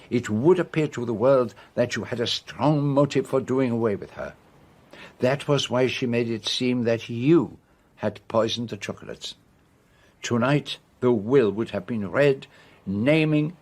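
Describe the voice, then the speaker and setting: An actor playing a self-assured foreign detective, speaking deliberately and formally as he explains a case in a radio drama. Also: medium pitch, close to an everyday voice. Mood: grave, explaining. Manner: deliberate, theatrical, formal phrasing with French forms of address.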